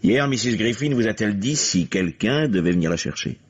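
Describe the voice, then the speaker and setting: An actor playing a stern, controlled police investigator who questions curtly and insistently in a vintage radio mystery. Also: male voice, fairly low pitch, lively intonation, fairly quick, breathy edge. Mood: grave. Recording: dull, lo-fi old radio recording.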